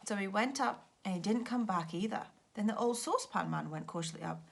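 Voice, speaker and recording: A woman teacher reading a children's story aloud with expressive narration. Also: medium pitch, brisk, gravelly.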